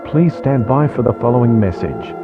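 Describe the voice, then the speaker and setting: A man's voice: mid-range voice, very animated, normal speed. A man reading a scripted standby announcement for an emergency alert. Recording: dull lo-fi audio with music.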